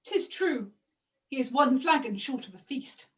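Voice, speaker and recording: A woman's voice: high voice, lively intonation, measured pace, a bit bright, a little hiss or hum. A woman playing a poor, wary young maiden in an amateur pantomime, speaking in a plaintive, mock-archaic, theatrical way. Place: at home, indoors. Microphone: video call. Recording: distant, dull home video-call audio.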